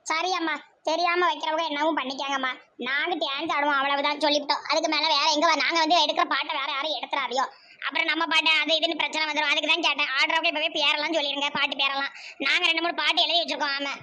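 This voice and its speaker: A bossy village mother played by a woman with a very high, sharp cartoon voice. She chatters brashly in a southern Tamil Nadu accent, mocking and teasing whoever she is talking to. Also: flat delivery, racing. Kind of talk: amateur cartoon comedy voice acting.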